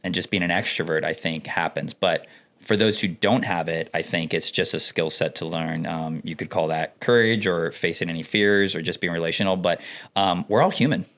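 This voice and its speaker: Expressive young man from the Dominican Republic. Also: low-pitched, normal speed. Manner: enthusiastic, expressive storyteller. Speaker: podcast co-host. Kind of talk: casual conversation.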